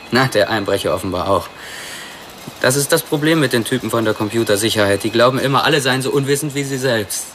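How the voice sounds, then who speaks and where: A male actor plays a clever, wry computer expert in a radio drama. His medium-pitched voice explains pedantically and a little smugly over clear background noise. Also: brisk, fairly bright tone, natural voice.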